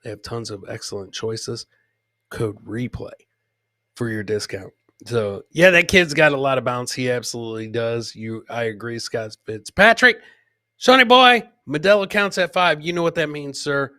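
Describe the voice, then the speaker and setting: A man hosting a casual, boisterous comedy show with loud, joking banter. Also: mid-range voice, normal speed, dry recording, studio quality. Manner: profane. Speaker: podcast host.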